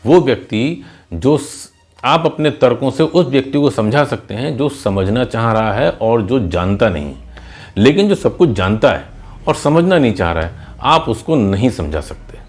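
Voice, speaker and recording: A man's voice: mid-range voice, expressive, moderate pace. A man narrating in a conversational, explanatory teacher's style, mixing in English words as he tells a moral story and gives advice.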